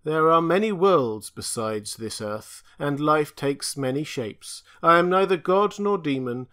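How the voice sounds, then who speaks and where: A man doing an ancient, tortured alien creature, high and grieving. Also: lively intonation, a little slow, fairly bright tone. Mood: mournful. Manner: lamenting, chanting, raised and pleading.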